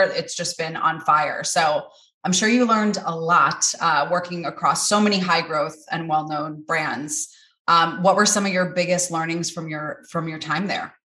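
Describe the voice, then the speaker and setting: A woman's voice: lowish voice, pushed and bright, echoey room. A woman hosting a podcast, upbeat and polished as she reads scripted intros and asks interview questions. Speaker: podcast host.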